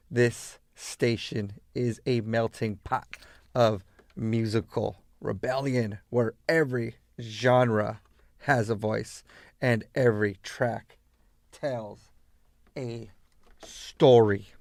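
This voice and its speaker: An American man from East Los Angeles hosting a laid-back radio show, talking to listeners in deliberate, halting phrases. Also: mid-range voice, a little mellow, no room sound, clean studio sound. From East Los Angeles, United States. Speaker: radio host.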